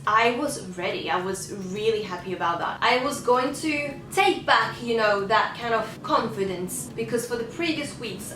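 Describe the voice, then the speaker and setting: Young singer girl, english not her first language, talking open and honest about a rough day, bit far from the mic in a roomy space. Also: medium pitch, fairly bright tone, a little hiss or hum. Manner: earnest, open and confessional. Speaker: singer and vlogger. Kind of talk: personal storytelling to camera. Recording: roomy sound, slightly distant from the mic.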